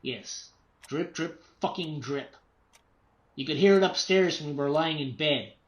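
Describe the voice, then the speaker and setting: An actor in a radio play playing a tense, unravelling writer and father, agitated, irritable and repeating himself haltingly. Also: on the high side, lo-fi sound, lightly acted. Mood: bitter, ranting.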